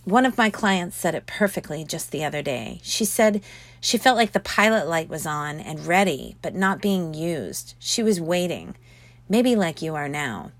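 A woman narrating her own motivational audiobook as its author, speaking earnestly with emphatic, deliberate phrasing. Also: fairly low pitch, lively intonation, no room sound. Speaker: author reading own book.

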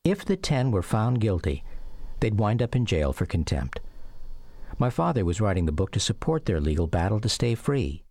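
A man's voice: lowish voice, big pitch swings, normal speed, darkish tone, no room sound. A middle-aged man with an American accent narrating a scripted documentary drama. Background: music.

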